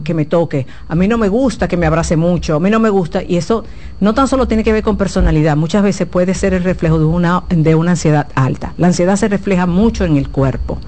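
A woman's voice: on the low side, mellow. A woman giving frank, explanatory advice as a guest sex therapist on a talk show.

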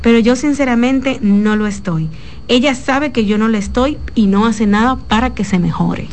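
A woman's voice: on the high side, moderate pace, a little mellow, slight background noise. A woman hosting a talk show, asking questions and reading a listener's letter aloud in a chatty way.

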